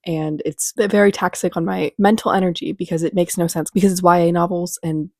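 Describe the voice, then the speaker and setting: A woman's voice: lowish voice, fairly even intonation, creaky, a little mellow, a little hiss or hum. A young woman with a congested voice from a cold, chatting casually and rambling as she recaps a book at home. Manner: fast, bubbly and rambling. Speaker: book vlogger. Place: at home in front of a bookshelf.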